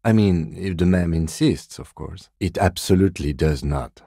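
An actor playing a composed middle-aged police detective in a video game, speaking in a measured, formal, dryly polite way. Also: male voice, low-pitched, mellow, breathy edge, no echo, clean studio sound. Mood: calm, formal. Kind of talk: dramatic game dialogue, separate voice lines.

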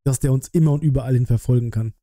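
A man's voice: medium pitch, rapid-fire, dark tone, a little hiss or hum. A man, giving casual live-stream commentary in a colloquial, musing way, close on the mic. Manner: musing, colloquial, thinking aloud. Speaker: streamer reacting to a game trailer.

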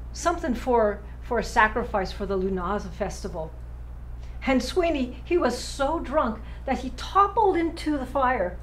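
A woman's voice: fairly high pitch, a little hiss or hum, poor audio. A woman performing a dramatic stage monologue as an anxious, righteous schoolteacher who keeps house for her family, earnest and fretful. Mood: agitated, worried. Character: anxious, dutiful, righteous Irish schoolteacher sister keeping house.

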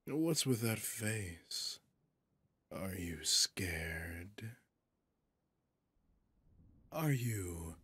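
A man voicing a seductive, possessive, menacing demon in an ASMR horror roleplay, husky and often whispering, with a teasing, condescending drawl. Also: on the low side, full character voice. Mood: gloating.

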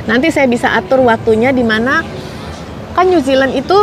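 Woman vlogger in her forties from Indonesia, chatty, somewhat high voice, lapel mic with loud street noise behind. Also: normal speed. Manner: chatty, answers viewer comments with many fillers. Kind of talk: casual chat to viewers, reading comments. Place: outdoors on a city street. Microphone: clip-on lapel mic.

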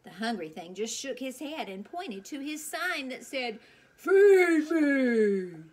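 A middle-aged woman reading a children's storybook aloud outdoors, with expressive, deliberate, theatrical emphasis. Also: fairly high pitch, slightly rough. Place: outdoors on a porch swing.

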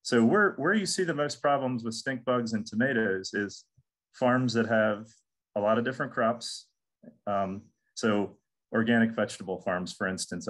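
A man's voice: fairly low pitch, fairly bright tone. A middle-aged man from the United States giving a casual webinar lecture on crop pests, repeating words and restarting often. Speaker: extension entomologist. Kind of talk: recorded webinar lecture with slides.

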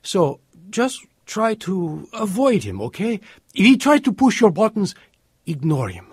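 A man's voice: high-pitched, wildly swooping pitch, a little slow, exaggerated voice. An actor playing a kindly, earnest stepfather who loves his family in a radio drama. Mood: pleading.

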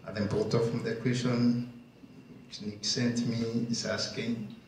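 A man with a Kenyan accent speaking as a farmer on a discussion panel, slow and thoughtful, pausing to find his words, on a handheld mic in a large wooden barn hall. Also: mid-range voice, flat delivery, darkish tone, a little hiss or hum. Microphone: handheld mic, far camera. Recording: echoey barn from far off.

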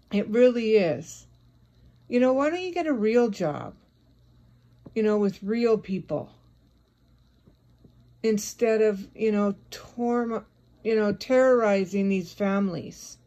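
A woman's voice: medium pitch, mellow. A woman giving a confrontational, scolding monologue addressed directly at someone, as an online video creator.

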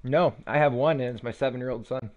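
A man in his late twenties streaming a video game, chatting casually and joking with a friend, with a rather high voice for a man. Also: fast talker, narrow, tinny audio. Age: 20s. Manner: joking, easygoing banter. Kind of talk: casual gaming chat.

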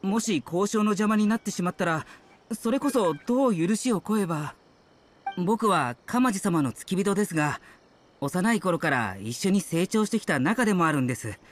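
An anxious, humble young servant. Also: male voice, high voice, expressive, normal speed, slight background noise. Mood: worried. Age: young adult. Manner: apologetic, very polite, hesitant. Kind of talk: video game character acting.

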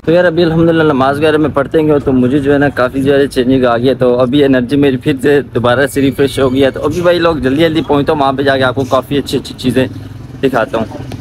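Fast-talking young Pakistani man vlogging outdoors. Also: mid-range voice, steady tone. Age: 20s. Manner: chatty, addresses viewers informally as buddies. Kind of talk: casual walking-tour vlog. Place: outdoors on busy city streets. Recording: clear outdoor street and traffic noise.

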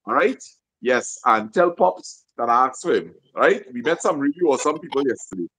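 A middle-aged man with a Guyanese accent, co-hosting a live stream from his living room, reading out viewer comments and giving shout-outs. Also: medium pitch, brisk, husky, rough recording. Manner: lively, gives shout-outs, breaks into song. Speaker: co-host. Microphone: video call.

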